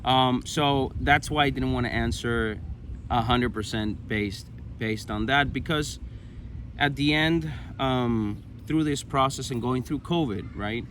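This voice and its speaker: Man, thirties, Venezuelan Spanish accent, mid-pitched, halting personal storytelling, noisy outdoor recording. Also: fairly bright tone. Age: 30s. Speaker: interview guest.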